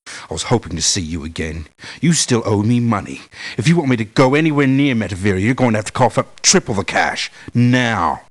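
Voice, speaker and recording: An actor playing a jaded, money-minded mercenary in a video game, with a curt, sardonic way of talking. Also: male voice, on the low side. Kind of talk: video game character voice lines.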